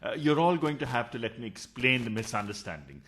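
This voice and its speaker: Flustered husband played by an actor, radio farce: mid-pitched man, very animated, blustering and halting. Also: a little hiss or hum. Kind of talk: farcical radio play acting.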